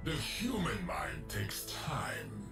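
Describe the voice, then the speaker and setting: An actor playing an obsessive, cold machine-augmented inventor in a video game, speaking formally and grandiosely. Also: male voice, fairly low pitch, wildly swooping pitch, drawn-out words, heavily acted. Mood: cold, grave. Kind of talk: video game character lines. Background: game music.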